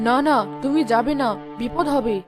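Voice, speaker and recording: Woman as a high-voiced distressed village wife. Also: normal speed, faint music behind, natural voice. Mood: distressed pleading. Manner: distressed, pleading. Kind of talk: dramatic cartoon voice acting.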